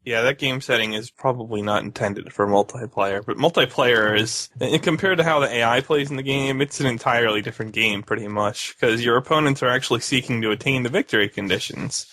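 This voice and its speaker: A man's voice: medium pitch, a little fast, hardly any pauses, sharp tone. A man co-hosting a gaming podcast, talking casually and explaining at length with some joking. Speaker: podcast co-host.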